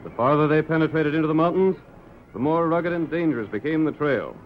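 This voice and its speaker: Old timey radio announcer guy, dramatic western storytelling, highish voice, muffled 30s recording, music around. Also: brisk. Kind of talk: dramatic scripted 1930s radio narration. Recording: muffled, band-limited 1930s radio recording.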